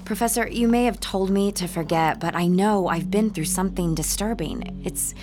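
A woman's voice: mid-range voice, moderate pace, music in the background, lightly acted. An actress playing a sceptical, sardonic young woman artist in an audio drama, wry and emphatic. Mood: shaken, uneasy. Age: young adult.

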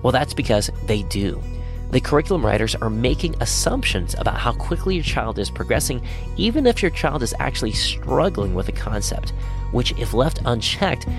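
Man, podcast host, scripted ad narration, some vocal fry, clear guitar backing. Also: medium pitch. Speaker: podcast host reading sponsor spots. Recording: music under the voice. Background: guitar music.

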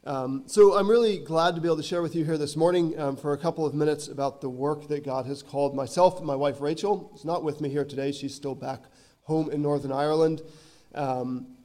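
A man in his thirties, a visiting missionary giving an earnest church talk with frequent ums, his voice hoarse from a cold. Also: fairly high pitch, fairly quick, mellow. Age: 30s. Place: church sanctuary.

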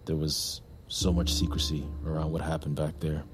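An actor playing an earnest, awkward young army veteran in an audio drama, halting and confessional as he talks about buried shame and desire. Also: extremely low pitch, level tone. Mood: urgent, vulnerable. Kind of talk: naturalistic audio drama acting.

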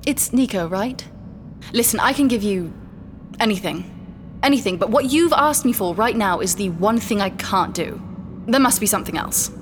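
A woman's voice: medium pitch, animated, moderate pace, background noise, lightly acted. A woman playing a defensive, pleading neuroscientist in a sci-fi audio drama, urgent and exasperated. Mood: bargaining. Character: defensive, pleading female neuroscientist, anxious but defiant.

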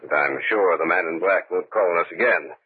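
A man's voice: low voice, brisk, breathy edge. An actor playing a troubled, take-charge man in a 1940s radio horror drama, with urgent, clipped delivery. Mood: grave. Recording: tinny 1940s radio recording, faint noise.

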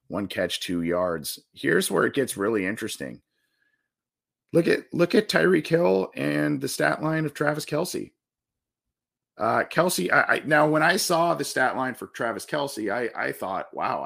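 Raspy-voiced man breaking down stats. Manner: halting restarts, animated jumps in pitch on big numbers. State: hoarse, scratchy throat. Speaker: sports podcast host. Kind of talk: postgame stat breakdown.

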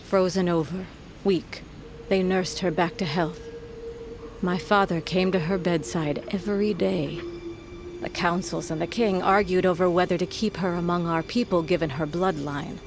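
An actress playing a solemn, grieving middle-aged mother in a fantasy audio drama, speaking in a measured, weighty storytelling way. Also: lowish voice, fairly even intonation, natural voice. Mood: solemn, reverent. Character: grieving, solemn mother, proud wolf queen's daughter.

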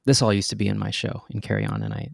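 A man with a low, gravelly voice tells a backstage story in a loose, conversational way. He often breaks into laughter at his own expense. The sound is close and dry, with no room around it. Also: sing-song, very fast, dry recording. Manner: chatty, self-deprecating, laughs often. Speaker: guest, stage performer. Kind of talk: casual interview storytelling.

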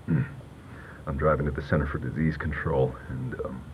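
A very deep-voiced man, shaken and halting, in a naturalistic audio drama. He speaks in a low-key way, and the sound is slightly dull with faint noise behind it. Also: fairly even intonation. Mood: hesitant, subdued. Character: shaken man in a deserted town after a mass death. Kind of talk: audio fiction acting, naturalistic.

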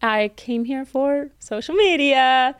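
A woman's voice: high-pitched, slow, smooth, dry recording. A twenty-one-year-old woman chatting casually and slangily as a podcast co-host.

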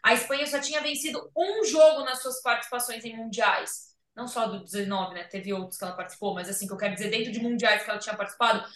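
Lively high-voiced Brazilian woman, roomy sound. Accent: Brazilian Portuguese. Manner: animated, rambling, thinks aloud with many restarts. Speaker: podcast host. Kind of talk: casual sports chat. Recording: roomy, a bit far from the mic.

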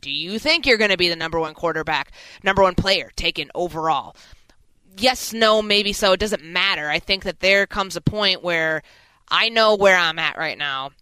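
A woman's voice: lowish voice, pushed and bright, clear, resonant tone, no echo, low-quality recording. A woman co-hosting sports talk radio, giving emphatic, opinionated analysis.

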